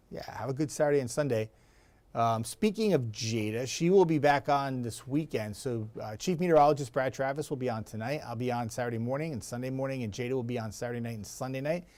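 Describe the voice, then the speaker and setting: A man with a raspy voice, a TV meteorologist chatting casually and rambling to viewers. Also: medium pitch, a little mellow. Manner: chatty, rambling, laughs easily.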